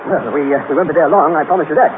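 An actor playing an excitable, impatient stranded traveler, agitated and blurting, in a 1930s radio drama. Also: male voice, on the high side, very fast, natural voice. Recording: very noisy, tinny 1930s radio recording.

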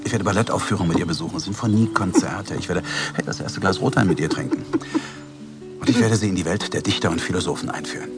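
Middle-aged dad, self-satisfied, sentimental, quick, low voice, loud music behind. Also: male voice. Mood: grandly enthusiastic. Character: grandiose, sentimental father dreaming of cultured parenting. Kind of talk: film dialogue in an audio drama.

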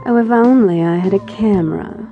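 A woman's voice: mid-range voice, moderate pace, dull audio, soft background music, natural voice. A woman playing a smug, catty rival actress in an audio drama, with a mocking, theatrical manner.

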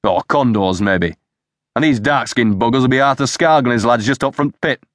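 A man's voice: on the low side, fast, fairly bright tone, dry recording, clean studio sound, natural voice. A man voicing a fat, sarcastic, blustering senior police detective in an audiobook. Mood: scornful, sarcastic. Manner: bellowing, sarcastic, coarse. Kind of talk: audiobook character dialogue.

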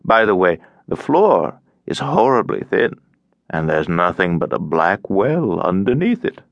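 A man's voice: low voice, sing-song, unhurried, dry recording, dull audio, full character voice. A man voicing a cheerful, tiresome, garrulous elderly Russian émigré in an audiobook, droning on with over-familiar chattiness. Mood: musing, insistent. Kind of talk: audiobook character acting.

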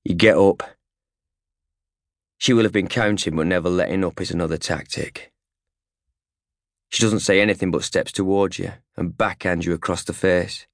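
Male narrator for literary fiction audiobook. Low, deep register, clipped short phrases, very dry recording. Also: steady tone, moderate pace, pauses often, dry recording. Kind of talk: scripted first-hand fiction narration in second person. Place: recording studio.

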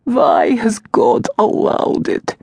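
A woman voicing a grieving old female servant who pleads tearfully, her voice rising high. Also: wildly swooping pitch, slow, vocal fry, darkish tone, no room sound, clean studio sound, heavily acted. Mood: anguished.

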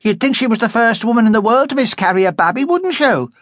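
A man's voice: sits high, big pitch swings, normal speed, a bit bright, dry recording, studio quality, voice pushed up, full character voice. Man doing a sneering spiteful young woman. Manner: sneering, coarse.

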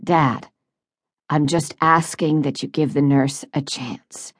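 A woman's voice: sits low, expressive, takes it slowly, no room sound, clean studio sound. A woman voicing a wealthy, impatient grown son with a curt manner in an audiobook. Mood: softer, placating. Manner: curt, exasperated.

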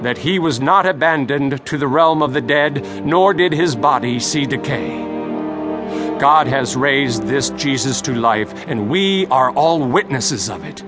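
An actor playing an earnest apostle preaching boldly, a man declaiming deliberately in a dramatized audio Bible. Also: medium pitch, animated, close to an everyday voice. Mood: assured, urgent. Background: music.

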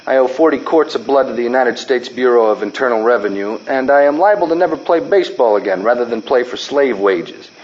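An actor playing a wry, dry-witted ballplayer who also narrates, in deadpan, formal phrasing. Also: male voice, medium pitch, brisk, few pauses, lo-fi sound, lightly acted. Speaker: narrator and character. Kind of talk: radio drama acting.

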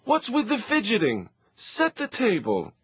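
A man voicing a frazzled mother of several boys, shrill and fed up, crying out over the mess. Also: huge pitch swings, measured pace, slightly rough, no room sound, heavily acted. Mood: exasperated. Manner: exasperated, cries out. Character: frazzled shouting Italian-American mother. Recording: dull, dry lo-fi recording.

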